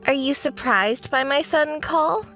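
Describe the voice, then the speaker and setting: A woman's voice: sits high, a little slow, a bit bright, soft background music, voice pushed up. A woman voicing a smug young mistress who calls another woman out of the blue. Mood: sly.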